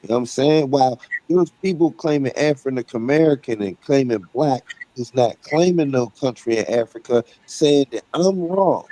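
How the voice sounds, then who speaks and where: A man holds forth on a live online panel, pressing his argument with a mellow, low-key tone. He keeps checking in with his listeners as he drives each point home. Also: normal speed, low-quality recording. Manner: emphatic, repeats "right?" and "you know what I'm saying". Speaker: debate panelist. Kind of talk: heated debate talk.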